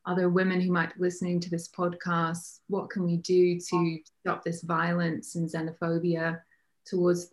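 A woman hosting a podcast interview, friendly and conversational, with frequent "you know" fillers. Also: fairly low pitch. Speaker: podcast host.

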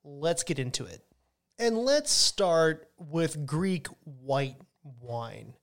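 A man's voice: a little high, lively intonation, extremely slow. A man hosting a podcast, talking casually and enthusiastically about wine, often restarting his sentences. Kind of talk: casual educational monologue.

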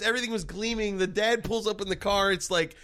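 A man's voice: high voice, fairly even intonation, fast, bright, forceful tone. A man casually riffing on a movie with friends, swearing, laughing and acting out the characters' lines. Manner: laughing, profane, acts out quotes. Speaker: podcast co-host.

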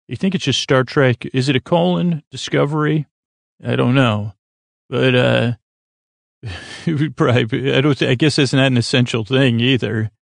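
A man hosting a sleep podcast in a lulling, soothing way, rambling unscripted, stumbling and trailing off mid-thought with gentle self-deprecation. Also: medium pitch, normal speed, gravelly, no echo. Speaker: podcast host.

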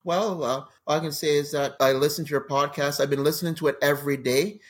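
Canadian guy in his 40s or so, guest on a podcast, telling funny travel stories, kinda high voice, acts stuff out. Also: fast. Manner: animated anecdotes with laughs and acted-out quotes. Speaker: guest, crime novelist. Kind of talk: casual interview storytelling.

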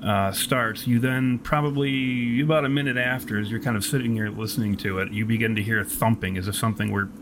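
Male game master, noisy recording. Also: on the low side. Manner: descriptive, deliberate, self-correcting storytelling. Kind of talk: improvised narration of a tabletop horror game. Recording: steady background noise.

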